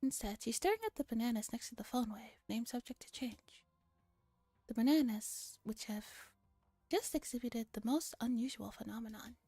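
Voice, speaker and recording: A woman streamer with a soft, often whispery voice, reading a visual novel in ASMR style with casual comments. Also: fairly high pitch, normal speed, husky, no echo, soft background music.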